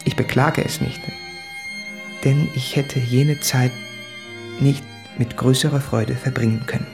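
A man's voice: medium pitch, steady tone, measured pace, creaky, over music. An actor playing an anguished, introspective man of about thirty reading out his confessional letters in a literary radio drama. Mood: tender, earnest.

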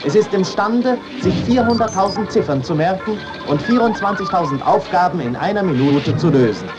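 Old newsreel type commentator, scripted, loud music blaring behind. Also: medium pitch, lively intonation, normal speed, muffled recording.

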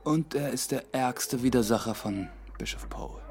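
Actor as inquiring man, medium pitch. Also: moderate pace, a little mellow, soft background music, lightly acted. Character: questioning male member of an expedition. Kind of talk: German radio play acting.

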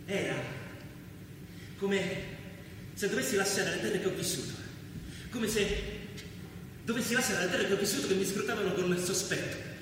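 An actor playing an anguished biblical brother in a radio play, speaking intense, lyrical lines with insistent repetition. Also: male voice, high-pitched, moderate pace, long pauses, close to an everyday voice. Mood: troubled, haunted. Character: anguished biblical brother, a toiling man of the land. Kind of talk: poetic theatrical radio drama. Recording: very echoey, distant, noisy hall.

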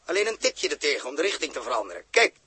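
An actor playing a practical, commanding spaceship crewman with a brisk, instructive manner in a 1960s radio drama. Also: on the high side, sing-song, bright, forceful tone, slightly rough, dry recording, full character voice.

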